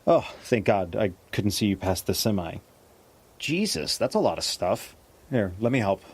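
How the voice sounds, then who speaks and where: Husky, animated, cautious man survivor. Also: lowish voice, moderate pace, heavily acted. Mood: concerned, curious. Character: cautious, worried male survivor on the road. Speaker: supporting lead actor. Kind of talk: naturalistic audio drama dialogue.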